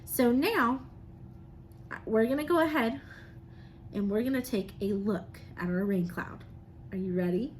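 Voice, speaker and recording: Higher-pitched woman teaching young children. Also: measured pace, long pauses. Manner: encouraging, simple phrasing addressed to children. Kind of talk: read-aloud and science lesson for young children. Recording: noticeable background noise.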